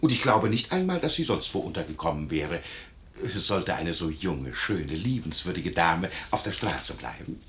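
An actor playing a flustered, scheming, obsequious innkeeper in a 1950s German radio play, stammering and wheedling. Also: male voice, low-pitched, very animated, moderate pace, a bit bright, slight background noise.

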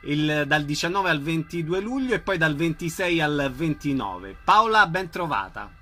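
Lively, bright radio host, male. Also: fairly high pitch, moderate pace, faint music behind. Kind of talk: conversational radio interview.

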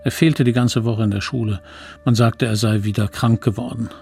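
A man playing a troubled narrator who recalls his boyhood guilt in a radio play, measured and brooding. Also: mid-range voice, fairly even intonation, gravelly, a little mellow, faint music behind, natural voice. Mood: haunted, subdued.

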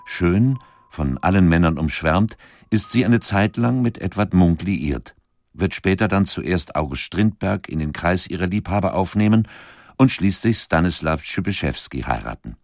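A man reading scripted documentary narration in a measured, articulate manner. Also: low-pitched, animated, darkish tone, no echo.

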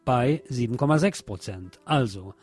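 A man reads radio news in a sardonic, opinionated way, adding his own commentary as he goes. His voice is mid-pitched, and music plays faintly behind him. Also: expressive, normal speed, darkish tone. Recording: music bed under the voice.

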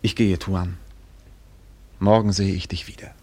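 Young trader prince, radio drama, low-pitched, ceremonious, proud, rough husky voice. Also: male voice, steady tone, warm tone. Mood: courteous, grave. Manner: formal, measured, ceremonious. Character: dignified young Malay prince posing as trader, grave and proud. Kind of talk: German radio drama acting.

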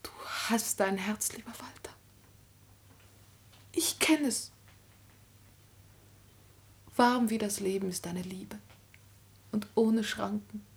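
An actress playing a dutiful, anguished young woman in love in a classic German tragedy for radio, breathy and solemn, often near a whisper. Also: mid-range voice, slow, pauses often. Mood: tender, pleading.

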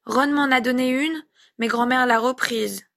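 A woman's voice: high voice, steady tone, a little slow, creaky, a bit bright, voice pushed up, full character voice. A woman voicing an eager, polite little boy who is curious and easily delighted, in a read-aloud story. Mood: sheepish.

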